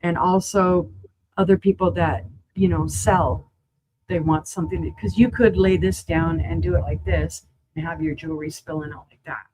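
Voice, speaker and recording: A woman running a live auction sale, chatty and enthusiastic, with a voice that is going hoarse. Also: on the low side, fairly even intonation, normal speed, darkish tone, a little hiss or hum, rough recording. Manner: chatty, enthusiastic, rambling asides. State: losing her voice, clearing throat.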